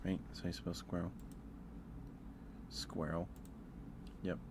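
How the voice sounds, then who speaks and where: A soft-spoken man hosting a casual ASMR livestream, rambling and thinking out loud in a hesitant way. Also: low-pitched, flat delivery, takes it slowly, noisy background. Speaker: livestream host. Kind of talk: casual ASMR livestream chat, ranking aloud.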